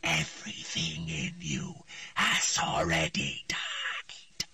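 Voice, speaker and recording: A man voicing a cold, hostile, inhuman presence speaking through a young girl, slow and mocking. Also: rumbling low, huge pitch swings, gravelly, sharp tone, slightly rough, no room sound, far from the mic, voice pushed down, full character voice. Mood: menacing.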